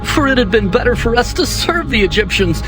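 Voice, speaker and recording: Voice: medium pitch, big pitch swings, fairly quick, close to an everyday voice. A voice playing frightened complaining Israelites. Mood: fearful complaint. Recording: music underneath.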